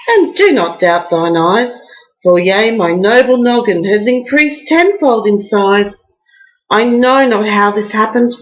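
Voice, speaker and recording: Vain storybook king, played by a woman reader: haughty, boastful, grandiose and unhurried. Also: a little high, rough recording. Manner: haughty, grandiose, overstated delivery. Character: pompous, boastful male king with a huge ego.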